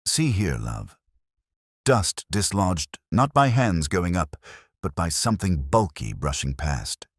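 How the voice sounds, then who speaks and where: A man voicing a mild, thoughtful police inspector in a mystery story, understated, deliberate and dryly wry. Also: low-pitched, very animated, husky, no echo, studio quality, full character voice. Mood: observant. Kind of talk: mystery audiobook character reading.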